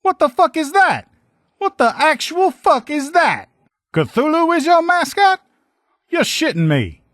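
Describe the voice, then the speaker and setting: A man voicing a gruff, loyal military lieutenant in a video game, with blunt, profane, folksy soldier talk. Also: extremely high pitch, big pitch swings, unhurried, a bit bright, no room sound, clean studio sound, heavily acted. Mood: incredulous. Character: gruff, loyal military lieutenant in power armor, protective comrade. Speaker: voice actor in a game mod. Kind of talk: video game character dialogue lines.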